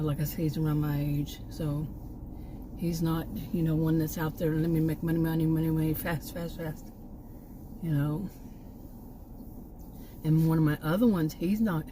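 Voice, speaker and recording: Middle-aged Texan woman, lower voice, rambling outdoor vlog chat, clear background noise. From Texas. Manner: meandering, repeats words, trails off. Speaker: vlogger. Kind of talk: casual rambling chat to camera. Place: outdoors near a park.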